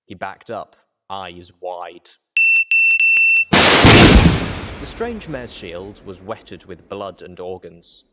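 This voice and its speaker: A man with a low voice narrates fiction in the first person. He reads from a script as an audiobook narrator, with a dramatic storytelling delivery. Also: very animated, very slow, pauses often, heavy background noise.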